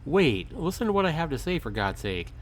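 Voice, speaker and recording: A man's voice: a little high, very animated, brisk, voice pushed up. A man voicing an indignant, pleading male survivor, the defensive hero of a visual novel game. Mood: exasperated. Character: exasperated, defensive man, survivor in an empty world.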